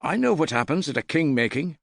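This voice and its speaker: Man acting an eager young lad, pitched high. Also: very animated, brisk, vocal fry, a bit bright, no room sound, studio quality, heavily acted. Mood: eager, embarrassed. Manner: eager, hesitant, blurting. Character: young eager boy retainer, naive and embarrassed. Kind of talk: audiobook character dialogue.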